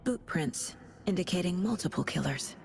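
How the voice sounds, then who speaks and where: A woman's voice: lowish voice, animated, moderate pace, creaky, a little mellow, slight background noise. A woman playing a composed, dry-witted female FBI agent in a video game, speaking in clipped, analytical phrases. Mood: focused, analytical. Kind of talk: video game dialogue and investigative monologue.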